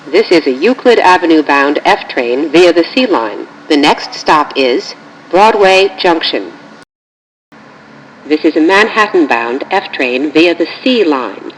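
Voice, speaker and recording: An American woman reading scripted, automated train announcements in a formal, measured manner, with clearly segmented phrases. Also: low-pitched. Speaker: recorded subway train announcer. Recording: noisy capture from train loudspeaker. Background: subway train ambience.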